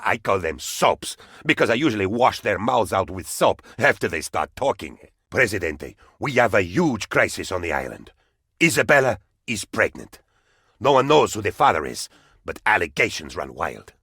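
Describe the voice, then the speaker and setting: An actor voicing an excitable, devoted, scatterbrained aide to an island dictator, theatrical and eager to please, in a video game. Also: male voice, fairly low pitch, breathy edge, no echo, clean studio sound, full character voice. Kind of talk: video game character voice lines.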